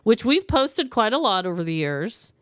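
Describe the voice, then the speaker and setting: Female podcast co-host, American. Lively swooping delivery, casual comic storytelling, close dry sound. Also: on the high side, measured pace, no echo. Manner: animated, informal storytelling with emphatic exaggeration. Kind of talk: casual comedic true-crime chat.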